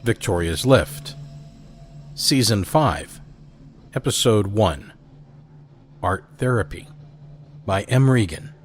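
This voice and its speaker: A man with a fairly low voice reads a story aloud in a measured, deliberate way. Faint music sits softly underneath him. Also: expressive. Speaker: host and narrator. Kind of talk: scripted audio drama narration.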